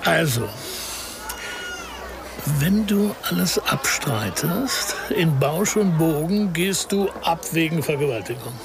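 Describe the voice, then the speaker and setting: A man playing a shrewd, unflappable police detective in a radio crime drama, explaining things in a measured, wry way. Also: fairly high pitch, lively intonation, gravelly, noisy background, heavily acted. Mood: dry, matter-of-fact. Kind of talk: naturalistic radio drama dialogue.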